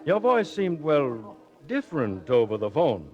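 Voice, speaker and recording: A calculating, suspicious gentleman in a 1940s radio mystery. He speaks curtly and formally in a medium-pitched voice, on a dull vintage recording. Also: huge pitch swings, measured pace, faint music behind. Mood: cool, suspicious. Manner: curt, formal. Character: cool, calculating, suspicious male uncle. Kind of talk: 1940s radio drama acting.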